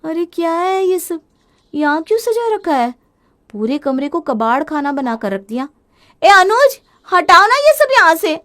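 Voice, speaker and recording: A woman's voice: extremely high pitch, sing-song, a little slow, a bit bright, voice pushed up, full character voice. A woman voicing a young wife who spends too much, talking in a whiny, wheedling way in a storybook drama. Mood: annoyed. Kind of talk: storybook voice acting.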